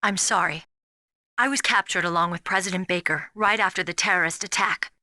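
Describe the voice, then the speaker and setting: An actress playing a spirited, earnest young rookie soldier in a video game, speaking in a frank, expressive way. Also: female voice, lowish voice, a little fast, dry recording, studio quality. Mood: confiding, frank. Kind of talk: dramatic video game voice acting.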